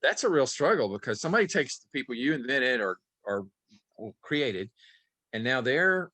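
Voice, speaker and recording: A man's voice: mid-range voice, very animated, moderate pace, bright, forceful tone, dry recording. A man author chatting folksily on a panel. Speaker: author guest. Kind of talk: casual panel chat.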